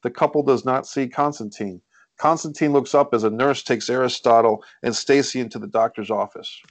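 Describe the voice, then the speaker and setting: A man's voice: medium pitch, moderate pace, no echo. A man hosting a script read and reading out the stage directions. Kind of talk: scripted screenplay narration. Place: indoors on a group video call.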